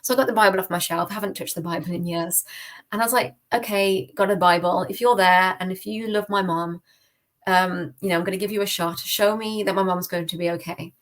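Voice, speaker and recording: Young female, British accent, slightly low voice, informal and chatty personal storytelling for an interview podcast. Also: fairly even intonation, a little fast, fairly bright tone. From United Kingdom. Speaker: podcast guest giving testimony.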